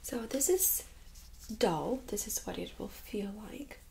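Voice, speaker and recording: A woman in her thirties speaking softly and often whispering, gently giving instructions as a nurse in an ASMR medical role-play. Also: mid-range voice, lively intonation, slow pace, gravelly, very noisy. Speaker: ASMR performer playing a nurse examiner. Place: small indoor room set up as exam room.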